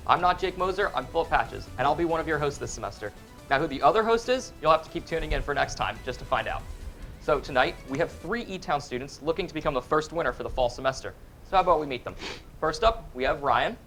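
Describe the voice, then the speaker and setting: A man's voice: a little high, animated, fast talker, a bit bright, soft background music. Upbeat young male game show host. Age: young adult. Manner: upbeat, chuckles often, reads clues with slips. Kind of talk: college game show hosting. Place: college TV studio.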